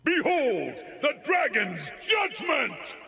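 An actor playing a righteous, zealous male fire warrior in a video game, with a gravelly voice. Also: very high-pitched, wildly swooping pitch, extremely slow, sharp tone, full character voice. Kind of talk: video game battle cries. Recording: echoey reverberant game audio.

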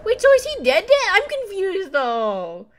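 Excitable high-voiced woman reacting to a game. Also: measured pace, a little hiss or hum. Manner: excitable, exclaiming, swearing casually. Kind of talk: casual live reaction commentary.

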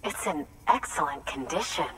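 An actress playing a composed, formal woman scientist in a video game cutscene, speaking in a measured, polite way. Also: sits low, animated, fairly bright tone, husky, exaggerated voice, rough recording. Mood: gracious, composed.

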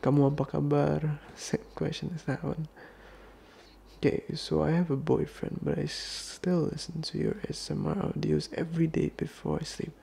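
A young male podcast host with a British-leaning accent, chatting casually as he reads out fan questions. His voice is heavily gravelly and husky, with a mellow, dark tone. He often sinks into soft near-whispers. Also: on the high side, flat delivery, moderate pace. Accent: Indonesian-tinged British English. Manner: laid-back, mixes Indonesian and English, drops into near-whispers.

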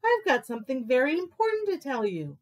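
An eager little girl mouse, as a woman reading aloud puts her on keeps asking where her friend is, earnest and urgent. The voice sits fairly high and swings about in sing-song rises. It is a gentle storybook turn rather than a full character voice. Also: huge pitch swings, brisk, full character voice.

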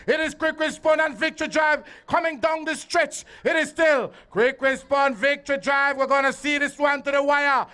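A man's voice: very high-pitched, steady tone, normal speed, hardly any pauses, bright, forceful tone. A man calling live horse races at an outdoor track, repeating the horses' names as they run. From Belize. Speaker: race announcer. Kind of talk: live horse racing commentary. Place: outdoor racetrack.

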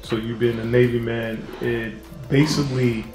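A man's voice: mid-range voice, level tone, darkish tone, faint music behind. Polite male interviewer in a roomy space. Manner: measured questioning, calls the guest sir. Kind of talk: documentary interview. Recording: roomy room sound.

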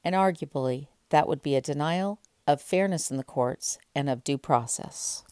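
A woman's voice: low voice, normal speed, a little mellow, clear, resonant tone, no room sound, studio quality. A woman in her thirties, an independent court reporter, speaking earnestly and pointedly to camera in an indoor hallway. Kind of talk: to-camera commentary.

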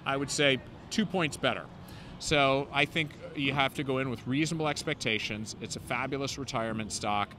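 A man in his fifties gives a street interview about investing, talking into a handheld mic. He sounds fluent and sure of himself, with frequent "um"s, and his voice sits in a middle register. Traffic noise from the city street comes through clearly. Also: mid-range voice, fairly quick. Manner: fluent and confident, many "um" fillers. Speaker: investment newsletter founder, interview guest. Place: city sidewalk outdoors, traffic passing.

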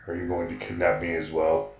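A man playing a haughty, scholarly golem in a fan audio drama, speaking in a measured, imperious way. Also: sits low, completely flat delivery, over music, natural voice. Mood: imperious, curious.

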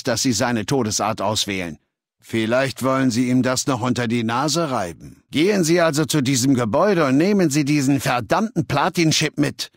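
An imperious, menacing warlord, dry studio sound. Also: male voice, medium pitch, breathy edge, no room sound. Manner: commanding, condescending, deliberate. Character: imperious, menacing warlord ruler of a slaver legion. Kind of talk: video game dialogue in German dub.

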